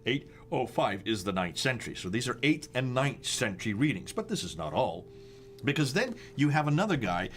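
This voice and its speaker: A man's voice: medium pitch, very animated, brisk. A man with an American accent hosting a discussion, walking through slides with emphatic rhetorical questions and stressed dates. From United States. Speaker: host.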